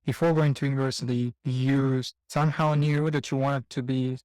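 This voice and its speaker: A man hosting a podcast interview, asking searching questions in a halting way. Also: mid-range voice, fairly even intonation, a little mellow, no room sound. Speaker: podcast host. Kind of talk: casual interview chat.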